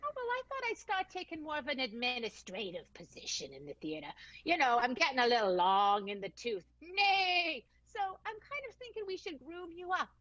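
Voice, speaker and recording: A woman's voice: high voice, big pitch swings, normal speed, fairly bright tone, no room sound, lo-fi sound. A woman playing a chatty, scheming vaudeville performer in an improvised radio play, rambling and tripping over her words. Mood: coy.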